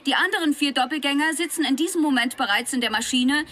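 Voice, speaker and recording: Female live reporter, high voice, brisk, formal announcing, radio drama news bulletin. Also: pushed and bright. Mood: solemn, brisk.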